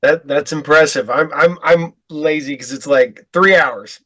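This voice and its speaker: A man's voice: a little high, unhurried, fairly bright tone, dry recording. Man in his forties, podcast host, rambling storyteller, slightly raised voice. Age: 40s. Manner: rambling storyteller, stumbling restarts. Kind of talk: casual chat.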